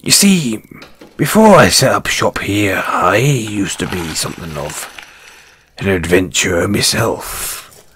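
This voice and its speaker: Man playing a slow, deep-voiced dwarf blacksmith. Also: animated, a bit bright, husky, heavily acted. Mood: friendly, bargaining. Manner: hesitant, folksy, avuncular, addresses listener as lad. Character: kind gruff dwarf blacksmith, former adventurer, hearty and loyal. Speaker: audio roleplay performer. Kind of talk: fantasy audio roleplay acting, second-person dialogue.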